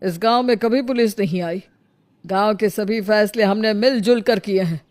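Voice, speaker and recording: A woman's voice: medium pitch, moderate pace, a little mellow, close to an everyday voice. A woman voicing a stern, self-important village headman in a cartoon morality story. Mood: authoritative. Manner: reproachful, authoritative.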